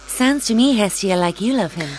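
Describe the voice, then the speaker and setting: A woman's voice: medium pitch, animated, moderate pace, natural voice, poor audio. A woman playing a cool, reserved young woman in a comedy audio drama, restrained and dry. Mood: wry.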